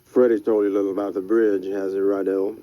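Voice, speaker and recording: A courtly, ominous gentleman, as a male narrator voices him, speaking low, quiet and slow with careful formality. The recording is dull and dry, with no room sound. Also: little pitch movement, no echo, close to an everyday voice. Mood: grave. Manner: formal, measured, grave. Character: formal, soft-spoken, menacing older man.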